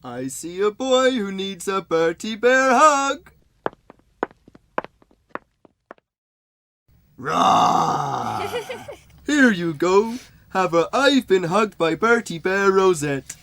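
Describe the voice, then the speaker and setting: A man's voice: high-pitched, sing-song, slow, creaky, fairly bright tone, a little hiss or hum, heavily acted. A man playing a well-meaning, clumsy football mascot bear in a comic radio sketch, playful and naive.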